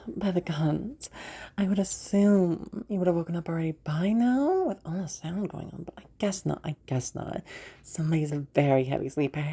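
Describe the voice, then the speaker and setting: A woman voicing a playful, teasing magical woman in a roleplay audio drama, amused and giggly with hesitant pauses. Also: on the low side, mellow, breathy edge, full character voice.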